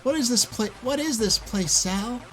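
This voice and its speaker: A man's voice: very high-pitched, animated, moderate pace, fairly bright tone, soft background music, voice pushed up. A man voicing a cocky, flippant young male hedgehog from a comic. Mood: puzzled.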